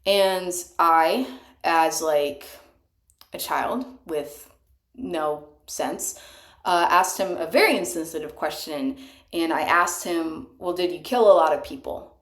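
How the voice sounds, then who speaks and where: A young woman talks slowly and earnestly in a soft, slightly low voice, breaking off into sighs and small laughs as she searches for words. Her cheap microphone picks up a lot of echo from the hard room around her. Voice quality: soft higher voice. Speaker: small channel singer. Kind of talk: casual confessional vlog. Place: bathroom. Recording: echoey room, cheap mic.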